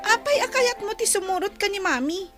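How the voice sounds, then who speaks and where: A woman's voice: extremely high pitch, animated, fairly quick, over loud music. A woman playing a resentful young girl in a radio drama, blunt and challenging.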